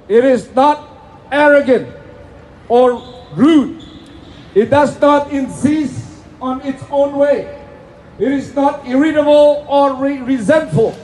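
A man's voice: very high-pitched, darkish tone. A man from Indonesia with an Indonesian accent, speaking broken English in a fervent, preacherly rally speech and prayer outdoors in a city plaza. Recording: phone video of an outdoor rally.

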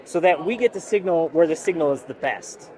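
Man, forties, high-pitched voice, keen company rep, casual explanatory pitch. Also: lively intonation, a little fast, a little mellow, poor audio. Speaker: company representative explaining technology. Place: busy indoor expo hall booth.

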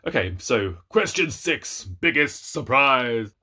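A man in his thirties chatting casually to camera as a book vlogger, playful, rambling and animated, with self-deprecating asides. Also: medium pitch, takes it slowly, husky. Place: small room at home with bookshelves.

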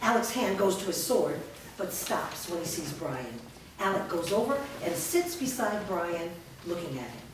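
A woman's voice: fairly low pitch, very animated, normal speed. A woman reading scripted stage directions aloud as the narrator of a staged reading. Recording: echoey room, far mic, clear noise.

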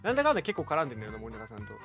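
A man's voice: fairly high pitch, huge pitch swings, very fast. A young man, bantering dryly and teasingly as a guest in a casual chat. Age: young adult. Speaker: guest streamer. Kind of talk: casual joking call chat. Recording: music behind the voice.

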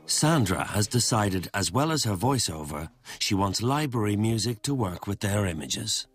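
A man narrating a reality show in a measured, matter-of-fact voice-over, with music underneath. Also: on the low side, lively intonation.

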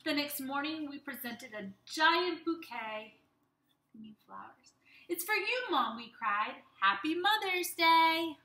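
A young woman teacher reading a storybook aloud to young children in an animated, expressive way, speaking to them directly. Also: high-pitched, slow. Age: young adult. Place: small room at home. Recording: roomy, echoey home webcam sound.

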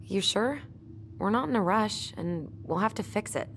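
A woman's voice: mid-range voice, expressive, normal speed, a little hiss or hum, lightly acted. A woman playing a wry, teasing young twin sister in an understated, naturalistic video game style. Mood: subdued. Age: young adult.

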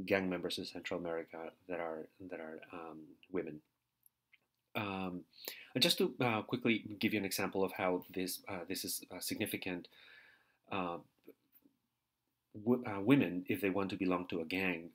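A man, giving a recorded university lecture as a teaching assistant, hesitant with many fillers and repeated words. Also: low-pitched. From Peru. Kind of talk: recorded lecture over slides.

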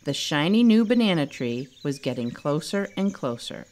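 Female children's storyteller: slightly low register, hugely varied pitch, expressive, dramatic narration. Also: normal speed. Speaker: author reading own storybook.

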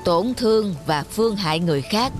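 A woman voicing a patient, wise village father and teacher in a moral cartoon, speaking in a measured, instructive way. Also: mid-range voice, animated, close to an everyday voice. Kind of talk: cartoon dialogue, moral storytelling. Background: music.

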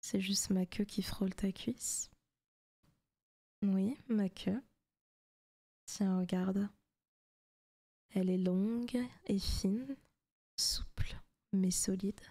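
Slow, whispery demon girlfriend, acted by a woman. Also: mid-range voice, fairly even intonation. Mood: teasing, doting. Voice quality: soft, breathy, often whispered. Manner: slow, intimate, teasing. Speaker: ASMR roleplay performer. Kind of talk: whispery ASMR roleplay.

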